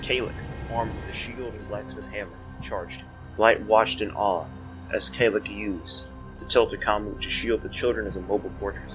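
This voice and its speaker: Low-voiced dramatic male fantasy narrator. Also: low-quality recording. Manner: portentous, theatrical.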